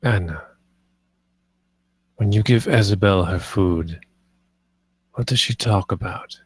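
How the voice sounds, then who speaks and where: An actor playing the grave, commanding master of a household, speaking with deliberate authority in a screenplay table read. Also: male voice, fairly low pitch, no room sound. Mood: accusing, intense.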